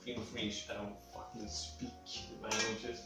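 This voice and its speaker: A man's voice: lowish voice, little pitch movement, unhurried. A young man with a cold, sniffly, rambling offhand and swearing casually as he cooks in his home kitchen. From Sweden. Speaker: home cooking video host. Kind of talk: casual cooking demonstration. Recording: echoey room, mic at a distance, faint music.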